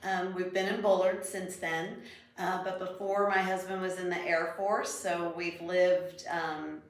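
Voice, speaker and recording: A friendly, chatty woman from Pennsylvania with a light Pennsylvania accent, speaking as a studio guest. Her voice sits a little low and echoes strongly around the room. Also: little pitch movement, measured pace. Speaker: guest, product representative. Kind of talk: casual interview chat. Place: small studio.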